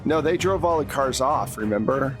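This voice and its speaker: A man's voice: mid-range voice, expressive, moderate pace. Man game master narrating over music. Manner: conversational, vivid second-person description. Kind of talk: narrating a tabletop roleplaying game. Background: background music.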